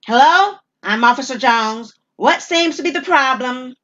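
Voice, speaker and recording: A woman's voice: high voice, expressive, a little slow, a bit bright, dull audio, pitched above the performer's own voice. A woman playing a practical, matter-of-fact police officer with an official manner in an audio drama. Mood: brisk, authoritative.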